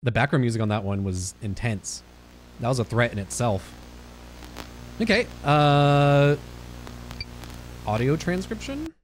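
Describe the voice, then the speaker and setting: A man's voice: mid-range voice, lively intonation, a little slow, long pauses, slight background noise, poor audio. A man in his thirties giving casual, joking, reactive commentary as he plays a game, close on the mic. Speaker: video game player. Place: indoor streaming setup. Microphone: close desk mic with headset. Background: loud game music.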